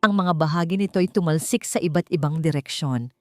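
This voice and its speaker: Female Filipino audiobook narrator, lower register, measured and suspenseful delivery, soft music underneath. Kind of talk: dramatic scripted story narration.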